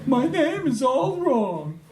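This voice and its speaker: A woman's voice: high voice, sing-song, takes it slowly, darkish tone, heavily acted. A woman acting a forlorn, officious misfit-toy sentry, theatrical and plaintive, in a radio play.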